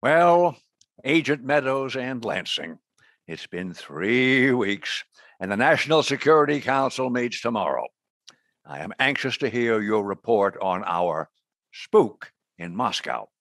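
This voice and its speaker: An actor in a radio play playing an authoritative intelligence boss who supervises agents. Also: male voice, medium pitch, big pitch swings, measured pace, a bit bright, no room sound, clean studio sound. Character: authoritative male intelligence boss demanding a report.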